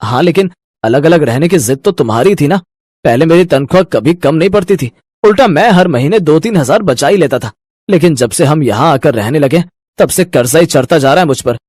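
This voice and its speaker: A man's voice: a little high, expressive, fairly quick, a little mellow, no echo. Guy playing a young husband in a cartoon story, practical, everyday voice, no room sound. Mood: exasperated. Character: young salaried husband, practical and exasperated about money.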